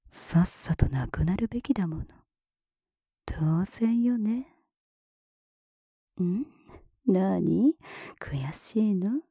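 A woman voicing a cool, mocking, dominant woman in a whispered ASMR roleplay. She speaks very close to the mic, in a soft whisper broken by taunting laughs. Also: fairly low pitch, husky, dry recording, full character voice. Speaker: voice actress.

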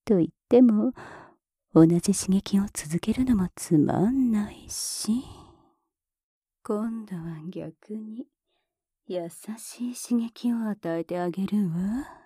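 A woman voicing a cool, mocking, dominant woman in a whispered ASMR roleplay. She speaks very close to the mic, in a soft whisper broken by taunting laughs. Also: medium pitch, takes it slowly, husky, no echo. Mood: teasing, mocking. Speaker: voice actress.

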